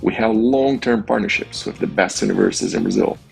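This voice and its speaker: A man's voice: lowish voice, fairly quick, gravelly, faint music behind, poor audio. A man delivering a prepared corporate presentation as a company executive.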